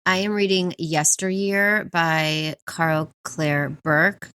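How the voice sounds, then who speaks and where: A woman's voice: deep voice, slow, pushed and bright, clean tone. A woman co-hosting a book podcast, talking conversationally and enthusiastically as she recommends books. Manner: enthusiastic, chuckles often.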